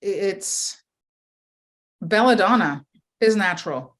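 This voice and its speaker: A woman's voice: mid-range voice, lively intonation, takes it slowly. A woman guest from the fragrance and flavor industry, explaining things in casual conversation and often adding tag questions. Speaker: guest, former industry professional.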